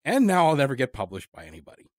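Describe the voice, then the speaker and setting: A man's voice: mid-range voice, huge pitch swings, very fast, darkish tone, no room sound. Man interviewer in a very dry recording. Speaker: podcast interviewer. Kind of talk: casual long-form interview conversation.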